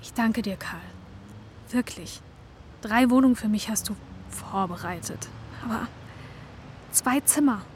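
Actress as high-voiced, anxious young mother. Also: a little slow, long pauses, a little mellow, a little hiss or hum, natural voice. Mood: pleading, insistent. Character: anxious, insistent young mother needing a flat. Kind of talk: intimate radio drama dialogue.

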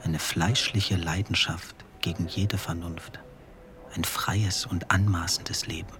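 A man reciting poetic, scripted radio play text in deliberate short phrases. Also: deep voice, fairly even intonation, crowd noise. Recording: clear music under the voice. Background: background music.

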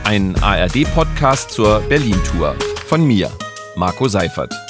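Low guy narrating a podcast, calm and scripted, soft music behind. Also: slow pace.

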